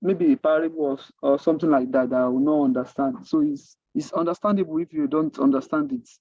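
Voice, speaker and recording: A man with a Nigerian accent and Yoruba as his native language, mentoring a class in an informal, halting way, repeating himself and asking rhetorical questions. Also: on the high side, warm tone, dry recording, lo-fi sound. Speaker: coding mentor. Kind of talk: informal online teaching.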